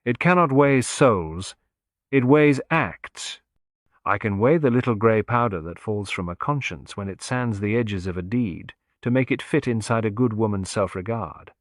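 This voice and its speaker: A man voicing a refined, philosophical foreign detective in a detective audiobook, speaking gently, precisely and deliberately. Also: fairly low pitch, no echo, clean studio sound, natural voice. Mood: grave, precise.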